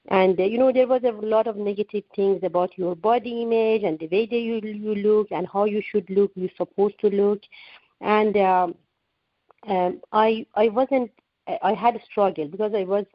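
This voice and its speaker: A woman's voice: medium pitch, no echo. Earnest woman in her forties on a phone line. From Iran. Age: 40s. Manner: earnest, many restarts and fillers. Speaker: guest author. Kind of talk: radio interview answers. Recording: dull lo-fi phone-line sound.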